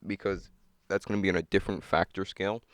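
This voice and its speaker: A man's voice: sits low, level tone, fast talker, no room sound, clean studio sound. A young man thinking aloud and griping at himself while setting up a computer game on a cheap desk mic. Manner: muttering, self-critical, thinking aloud. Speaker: gamer. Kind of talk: casual gameplay commentary.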